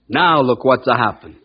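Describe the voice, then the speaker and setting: A man playing a warm-hearted, earnest Italian shopkeeper who speaks broken English with an Italian accent in an old-time radio drama. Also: medium pitch, husky. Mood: hurt, pleading. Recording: muffled old radio recording.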